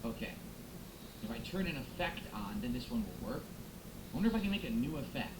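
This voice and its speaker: A man's voice: medium pitch, very animated, fairly quick. Chatty streamer man in an echoey room. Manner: chatty, thanking viewers, musing aloud. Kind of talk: casual live-stream chat. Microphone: close XLR microphone. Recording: echoey room with background noise.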